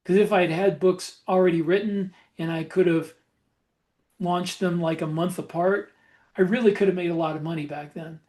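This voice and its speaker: A man with a noticeably high voice for a male speaker chats casually as a podcast host. He tells his stories reflectively, in a halting way, feeling his way through each thought. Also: fairly even intonation, darkish tone. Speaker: podcast host and self-published author. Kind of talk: casual podcast conversation about a writing career.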